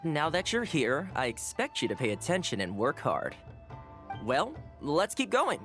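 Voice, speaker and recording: An actor playing a polite, earnest young male housekeeper who teaches a class in a video game, speaking clearly and courteously. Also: fairly high pitch, huge pitch swings, unhurried, fairly bright tone, full character voice. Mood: encouraging, instructive. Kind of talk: video game voice acting, instructional speech. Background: background music.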